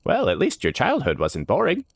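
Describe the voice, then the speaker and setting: A man voicing a whimsical, smirking trickster gentleman in a theatrical, lilting way for a fantasy story. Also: medium pitch, wildly swooping pitch, normal speed, gravelly, slightly rough, pitched above the performer's own voice, exaggerated voice. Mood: smirking, flippant.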